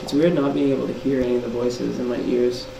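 A young man chatting casually as a guest on a college radio show, laid-back and quick to laugh. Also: medium pitch, level tone, vocal fry. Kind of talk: casual joking chat. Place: small college radio studio. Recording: echoey room, background noise.

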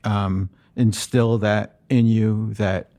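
A middle-aged man with a lowish voice, running the interview. He talks in a chatty way, padding his questions with ums and heaping on compliments. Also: little pitch movement, slow, dark tone. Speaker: radio interviewer.

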